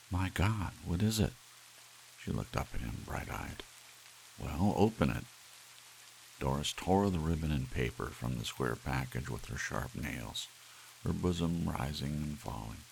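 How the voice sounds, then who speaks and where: A man narrating an audiobook in ASMR style, with soft rain behind him. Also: extremely low pitch, gravelly, a little hiss or hum, rough recording.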